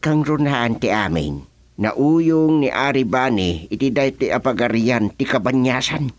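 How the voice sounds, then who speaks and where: A man's voice: medium pitch, animated, a little slow, natural voice. A man playing a middle-aged father-in-law in a palace tale for radio drama. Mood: indignant. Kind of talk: radio drama comedy acting.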